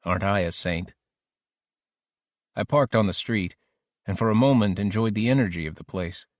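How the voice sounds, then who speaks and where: A man's voice: fairly low pitch, normal speed, no room sound, studio quality, natural voice. A man narrating an audiobook diary as an ambitious, lovesick teenage boy, introspective and intense. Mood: brooding. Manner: introspective, self-questioning, intense.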